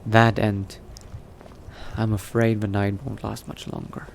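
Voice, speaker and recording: Brooding new vampire male gamer in the role: lowish pitch, very unhurried, hushed wary self-talk for game roleplay, noisy ambient backdrop. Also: level tone, vocal fry. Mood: wary, uneasy. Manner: hushed, halting self-talk with sighs and pauses. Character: cautious newly turned male vampire, brooding adventurer thinking aloud. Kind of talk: in-character video game roleplay monologue. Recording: noticeable background noise.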